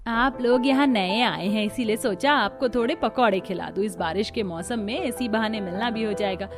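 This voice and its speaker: A woman voicing the family characters of a cartoon story with expressive household dialogue. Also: medium pitch, moderate pace. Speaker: voice actor for several characters. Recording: voice over clear background music.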